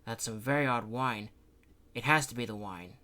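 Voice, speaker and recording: A man's voice: mid-range voice, normal speed, sharp tone, lightly acted. A man voicing a middle-aged councilman who feels lightheaded and weary.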